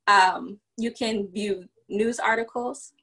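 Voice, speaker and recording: A woman's voice: mid-range voice, fairly even intonation, takes it slowly, a bit bright, husky. A woman from Virginia, a business founder chatting as a podcast guest and explaining things step by step with plenty of fillers. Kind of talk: casual podcast interview.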